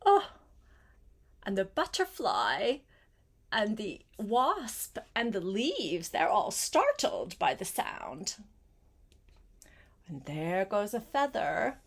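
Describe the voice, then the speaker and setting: An older woman, a grandmother, reads a picture book aloud to a young child, gently adding simple asides and questions. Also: medium pitch, huge pitch swings, slow, long pauses. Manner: gentle, simple child-directed talk with questions and short phrases.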